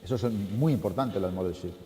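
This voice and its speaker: A man's voice: low voice, brisk, warm tone. A man speaking as an animator at a public talk, explaining informally and often repeating his phrases as he goes. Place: large room at an art school event. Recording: echoey hall, distant reverb, background noise.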